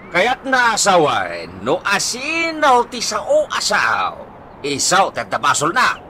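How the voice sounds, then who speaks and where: A man's voice: high-pitched, huge pitch swings, sharp tone, slight background noise, exaggerated voice. A man with an Ilocano accent acting an exasperated, bossy village captain who scolds in a comedy radio drama. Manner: scolding, drawn-out emphatic cries.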